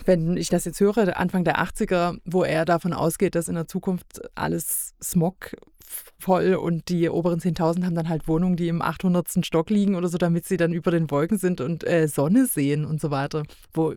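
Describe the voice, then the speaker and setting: A woman's voice: on the low side, a little mellow, no room sound. Talkative woman, very dry close sound. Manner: talkative, thinking aloud, emphatic repetition. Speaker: podcast guest. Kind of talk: casual reflective discussion.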